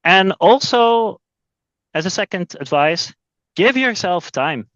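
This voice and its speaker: A man's voice: high voice, lively intonation, takes it slowly, a bit bright, no echo, lo-fi sound. A man from Belgium, a sales executive talking as a podcast guest, often repeating words as he restarts his sentences. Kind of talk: casual interview talk.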